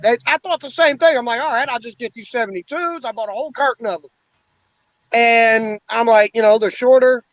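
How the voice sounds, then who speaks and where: A man's voice: very high-pitched, a little fast, a bit bright. A man in his forties talks casually and bluntly, swearing freely as he rambles from point to point. His voice comes through dull and lo-fi. It sounds dry, with no room echo. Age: 40s. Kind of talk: casual chat.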